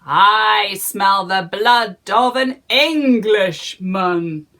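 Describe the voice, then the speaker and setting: A woman voicing a huge, hungry giant in a storybook, booming out slow threats. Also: pushed and bright, exaggerated voice. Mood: menacing.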